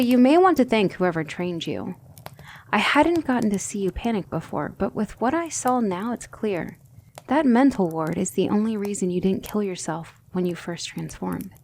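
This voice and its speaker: A woman playing a gentle but firm demon tutor in an ASMR roleplay, speaking in a soothing, measured and formal way. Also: mid-range voice. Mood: gentle, reassuring.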